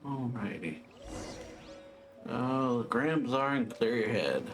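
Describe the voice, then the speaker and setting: Chill guy doing lets play commentary, talks slow, little mumbled reactions, game music behind. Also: medium pitch, animated. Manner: laid-back, brief murmured reactions. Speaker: let's play streamer. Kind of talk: casual gameplay commentary.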